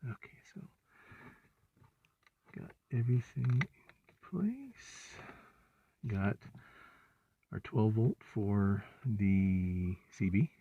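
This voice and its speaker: A man narrating a hands-on install in a casual, low-key way, muttering to himself as he works. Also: animated, extremely slow, pauses often, breathy edge. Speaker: vlogger doing truck accessory installs. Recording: muffled lo-fi audio, faint noise, clicks.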